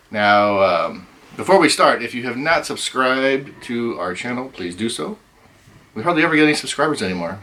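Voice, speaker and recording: A middle-aged man hosting a casual chat, rambling with many ums, his voice going hoarse. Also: on the low side, pushed and bright. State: losing his voice. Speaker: host.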